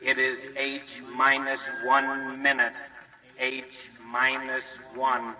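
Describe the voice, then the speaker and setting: A slow, monotone countdown announcer. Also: male voice, medium pitch. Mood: formal, tense. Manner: measured, monotone, formal. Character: stern countdown announcer at military test site. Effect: loudspeaker with long echo. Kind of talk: old-time radio drama acting. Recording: distant, echoing loudspeaker in large hall.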